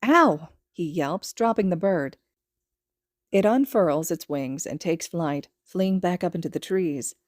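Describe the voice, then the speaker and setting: A woman's voice: lowish voice, unhurried, mellow, no echo, studio quality. A woman reading a story aloud as scripted audiobook narration. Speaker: podfic narrator.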